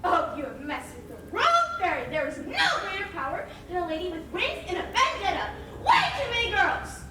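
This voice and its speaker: A woman's voice: very high voice, very animated, normal speed. A woman on stage playing a jealous, spiteful young fairy, snide and melodramatic. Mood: indignant, vengeful. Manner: snide, dramatic. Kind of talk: live stage comedy acting. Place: theatre stage, live performance. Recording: very echoey theatre, distant mic, faint noise.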